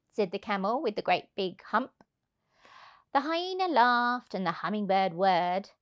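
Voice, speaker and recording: A woman, around her thirties, reading a children's rhyming storybook aloud in an expressive, rhythmic way. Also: medium pitch. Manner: animated, rhythmic, emphatic delivery. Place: indoors on a sofa. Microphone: clip-on lapel mic.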